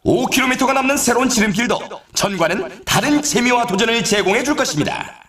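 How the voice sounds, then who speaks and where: An actor playing an excitable, theatrical male announcer at an extreme snowboarding event in a video game, delivering scripted hype with showy exclamations. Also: extremely high pitch, a little fast, echoey room, heavily acted. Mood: excited, celebratory.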